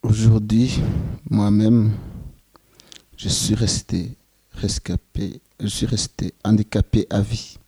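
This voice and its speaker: A Rwandan man in his twenties giving solemn, measured testimony as a genocide survivor. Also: fairly low pitch, little pitch movement, dark tone, slightly rough, dry recording. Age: 20s. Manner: slow, grave, measured phrasing.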